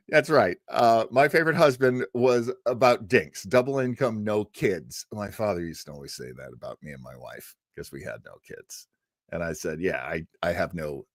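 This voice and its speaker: A man hosts a vintage radio show in a casual, theatrical way. His pitch moves around a lot, full of animated emphasis and joking asides, and the sound is close and dry. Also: no echo. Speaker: show host. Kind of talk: casual live commentary introducing old radio.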